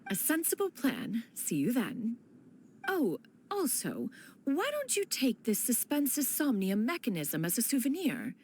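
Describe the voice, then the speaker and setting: A woman's voice: fairly high pitch, wildly swooping pitch, unhurried, darkish tone, slight background noise, heavily acted. Haughty immortal woman, archaic speech. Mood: composed, lofty. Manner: formal, archaic, pompous. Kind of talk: video game character voice acting.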